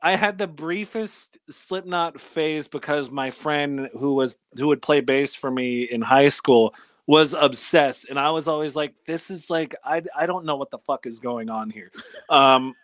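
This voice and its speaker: A man in his thirties with a Californian accent, chatting casually as a guest in a halting, slangy, profane way. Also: mid-range voice, a bit bright, no room sound. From California, United States. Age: 30s. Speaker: interview guest. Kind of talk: casual chat, personal recollection.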